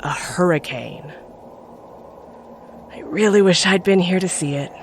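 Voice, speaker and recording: An actor playing a calm, teasing companion who lives in someone's head, gentle and unhurried, in an audio drama. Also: medium pitch, slight background noise, natural voice. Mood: tender.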